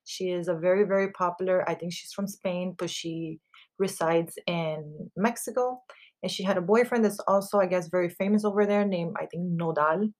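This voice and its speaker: Host, woman, 30s, mellow lowish voice, chatty gossip delivery with fillers and cussing. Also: moderate pace. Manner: chatty, full of "like" fillers and casual swearing. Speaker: podcast host. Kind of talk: casual gossip podcast monologue.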